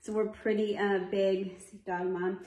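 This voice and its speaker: A woman's voice: medium pitch, little pitch movement, a little slow, warm tone. A woman in her thirties chatting casually on a video blog in a friendly, matter-of-fact way. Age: 30s. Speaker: vlog co-host. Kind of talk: casual chat. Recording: echoey room.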